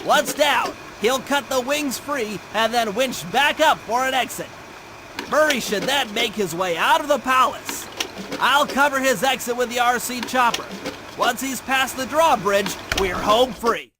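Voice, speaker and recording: Very high-pitched nerdy turtle mastermind. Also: male voice, unhurried, noisy background. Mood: focused planning. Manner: precise, technical, verbose briefing. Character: nerdy brainy turtle tactician, fussy, confident gadget planner. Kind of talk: cartoon video game mission briefing. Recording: game music behind voice. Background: game music.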